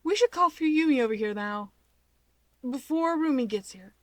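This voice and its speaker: A woman's voice: high-pitched, animated, moderate pace, no echo, voice pushed up. A woman voicing an exasperated, anxious young male superhero who questions everything in an incredulous, sardonic way. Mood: urgent, persuasive.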